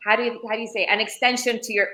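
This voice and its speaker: A young woman from Ecuador with a bright, clear voice talks about her craft. She pauses and restarts as she hunts for the right word. The sound is echoey, as if she is on a video call from a room. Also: fairly high pitch, level tone. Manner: thoughtful, searching for words, many restarts. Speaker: leather craftswoman and entrepreneur. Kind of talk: interview answers. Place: Florence, Italy.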